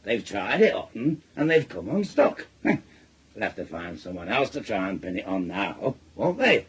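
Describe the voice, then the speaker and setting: A middle-aged working-class Northern English father in a radio drama. He is blunt, suspicious and combative, his pitch swinging freely as he blusters. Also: very animated, unhurried, breathy edge, full character voice. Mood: indignant.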